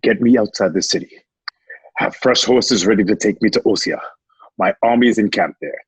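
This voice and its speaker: An actor playing a battle-hardened, resolute Roman general turned gladiator, in a radio-drama read. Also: male voice, lowish voice, moderate pace, breathy edge, no room sound, poor audio. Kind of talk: online table-read radio drama acting.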